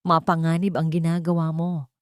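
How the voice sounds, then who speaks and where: A woman with a Filipino accent voicing a cautious, discreet male lawyer in a hushed, guarded manner for an audiobook. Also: deep voice, completely flat delivery, normal speed, clean tone, no echo, clean studio sound, lightly acted. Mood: wary.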